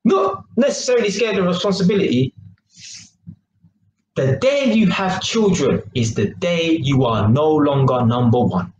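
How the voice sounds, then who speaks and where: A man, talking to camera in a small kitchen. He speaks in a high, very animated voice and keeps dropping slang and "yeah?" to check you're with him. The room sounds echoey, with a faint hiss behind him. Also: measured pace. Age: 20s. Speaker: vlogger. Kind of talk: casual monologue to camera. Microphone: webcam at arm's length.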